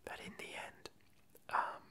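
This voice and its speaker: A woman whispering a casual ASMR chat in a breathy, halting way with long pauses. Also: bright, forceful tone. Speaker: ASMR video creator.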